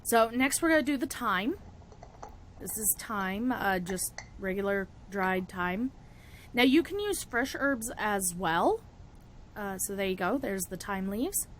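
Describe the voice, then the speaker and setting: A young woman whose voice is raspy from a cold talks through a hands-on craft tutorial in a loose, chatty way. She laughs a lot and keeps stopping herself mid-sentence. Her pitch sits in the middle range, and a faint hum can be heard in the background. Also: lively intonation, unhurried. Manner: chatty, laughs often, self-interrupting. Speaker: witchcraft vlogger. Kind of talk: casual tutorial chat.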